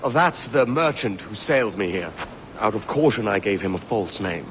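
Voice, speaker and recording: An actor playing a brash, greedy, roguish pirate in a video game, irreverent and colloquial. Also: male voice, on the low side, expressive, normal speed, close to an everyday voice. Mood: evasive. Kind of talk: video game cutscene acting. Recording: noisy ambience behind the voice.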